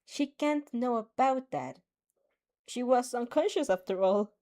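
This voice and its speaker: Gentle sweet teen girl, woman voicing her, soft-spoken, lively pitch. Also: unhurried.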